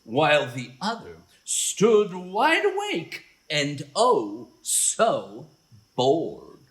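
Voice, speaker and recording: A woman narrating children's stories in a theatrical, expressive way, with drawn-out phrasing, gasps and chuckles. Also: low voice, a little hiss or hum. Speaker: children's storyteller. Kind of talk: animated storybook narration for young children.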